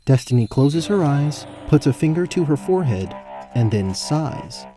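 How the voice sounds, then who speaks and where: A man's voice: on the high side, big pitch swings, normal speed, over music. A man giving scripted narration for a fantasy tabletop-style audio story. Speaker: narrator, game master.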